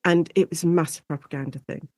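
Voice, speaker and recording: A British woman speaking as an expert guest in a conversational interview, explaining history in an informal, animated storytelling way. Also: low-pitched, quick pace, no echo, studio quality. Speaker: guest expert, lecturer and author on Shakespeare in radio.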